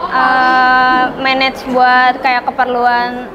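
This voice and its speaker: A woman's voice: sits high, completely flat delivery, drawn-out words, highs cut off, a crowd in the background, noisy background. An eighteen-year-old woman student answering street interview questions in a chatty way, full of fillers and English loanwords.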